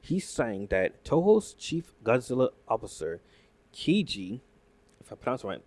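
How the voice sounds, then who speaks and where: A man reacting to news in casual commentary, excitable and rambling, full of fillers and restarts. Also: mid-range voice, a little slow, no echo. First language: not Japanese. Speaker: YouTube commentator.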